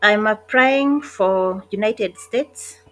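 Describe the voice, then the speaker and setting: A woman narrating an online job application walkthrough in a casual, hesitant way, with frequent pauses and self-corrections. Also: mid-range voice, a bit bright. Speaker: online job tutorial creator. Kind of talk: casual screen-recorded walkthrough.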